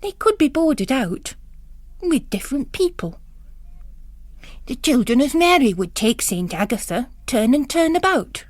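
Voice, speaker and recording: A woman's voice: high voice, sing-song, a little slow, dry recording, voice pushed up, exaggerated voice. A woman voicing a pious, anxious, devoted female housekeeper, earnest and fretful, in a literary drama.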